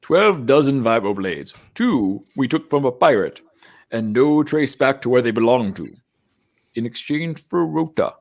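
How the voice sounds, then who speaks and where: A man's voice: mid-range voice, expressive, unhurried, vocal fry, a little mellow. A man playing a cocky, wheedling military officer and dealmaker, smug and theatrical, at a comedic table read. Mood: smug, bargaining. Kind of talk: comedic live table read of a fan script.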